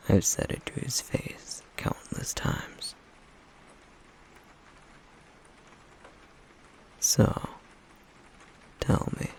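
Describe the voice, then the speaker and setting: A man performs a guarded, wounded demon for an intimate audio roleplay. His low voice crackles with deep fry and often sinks into breath. He speaks slowly and haltingly, brooding yet wryly teasing, with wide swings in pitch. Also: full character voice. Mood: guarded, soft. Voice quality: breathy, gravelly near-whisper. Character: stoic, guarded, wounded male flame demon.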